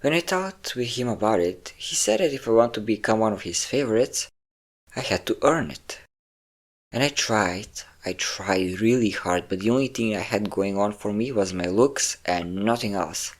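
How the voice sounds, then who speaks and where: A man's voice: fairly low pitch, animated, moderate pace. A man playing a possessive, obsessive vampire lover, vain and cruel, in an intimate, theatrical roleplay monologue with lingering words and sighs. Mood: wounded, wistful.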